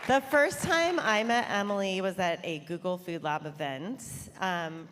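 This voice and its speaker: A woman's voice: on the low side, measured pace, crowd noise, background noise. A woman moderating a conference panel in a casual, chatty way, with many fillers. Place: large hall on stage. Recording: reverberant hall, faint ambience.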